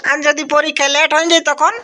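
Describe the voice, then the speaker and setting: A fretful, whiny teenage village girl from a comedy cartoon, acted by a woman. Her voice is very high and piercingly bright, with little melody and a Purulia Bengali accent. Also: level tone, quick pace. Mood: worried. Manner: fretful, complaining. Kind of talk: comic cartoon dialogue.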